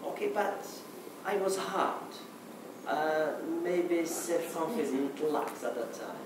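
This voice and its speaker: A man from Morocco whose first language is Arabic, speaking English with a Moroccan accent in a hesitant conference talk, restarting often and filling pauses with "okay". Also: on the high side, animated. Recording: echoey hall, mic far off, noisy.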